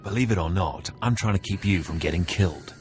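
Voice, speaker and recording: A proud, menacing rival acolyte , voiced by a man in a video game. The voice is deep and controlled, smug and condescending as it taunts. Faint music sits behind it. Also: a little fast, lightly acted.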